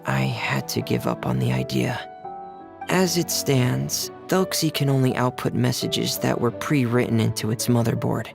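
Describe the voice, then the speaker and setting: Reserved young mechanic, creaky voice. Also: male voice, medium pitch. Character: soft-spoken, earnest young male mechanic and diver. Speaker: game character voice actor. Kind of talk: video game cutscene acting. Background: game background music.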